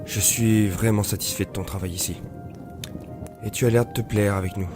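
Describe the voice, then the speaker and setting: A kindly village baker voiced by a man speaks in a low, paternal voice, encouraging and content, in a period radio drama. Music runs clearly underneath. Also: fairly even intonation, moderate pace, lightly acted. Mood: genial, coaxing. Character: kindly, satisfied village baker and matchmaking father. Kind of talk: audio drama acting.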